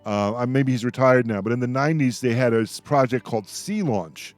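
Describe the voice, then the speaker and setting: A man hosting a radio roundtable, chatting as an enthusiastic fan in an effusive, halting way with repeated words. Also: medium pitch, dry recording, faint music behind.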